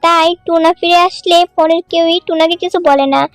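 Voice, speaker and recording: A woman's voice: very high-pitched, steady tone, normal speed, no echo, faint music behind. A woman reading scripted narration for a children's cartoon story.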